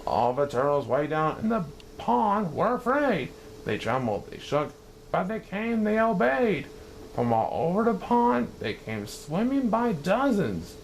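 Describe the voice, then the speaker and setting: A man reads a rhyming children's story aloud with a bouncing, sing-song lilt. His voice sits fairly high and swings widely in pitch, with a gravelly texture. A faint hiss runs underneath. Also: very animated, measured pace, low-quality recording.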